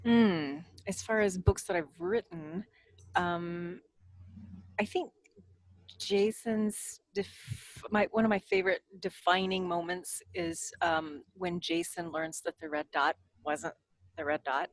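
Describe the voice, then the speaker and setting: A woman author in casual podcast chat, speaking in a halting, thoughtful way. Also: on the low side, expressive, no room sound. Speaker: podcast crew member and author.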